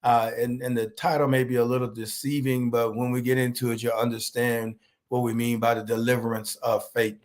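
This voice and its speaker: A male pastor with a hoarse, slightly nasal voice from a cold, giving an online sermon in a slow, halting way and greeting viewers by name. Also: mid-range voice, little pitch movement, a little mellow.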